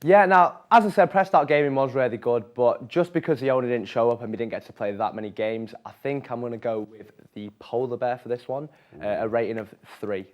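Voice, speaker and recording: A man's voice: mid-range voice, fairly quick, muffled recording. Chatty young male student reporter. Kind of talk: casual presenting.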